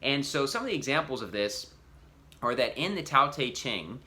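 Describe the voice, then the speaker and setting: A man's voice: medium pitch, very animated, moderate pace, pushed and bright. A man preacher giving an earnest teaching talk, explaining step by step with frequent restarts and "you know".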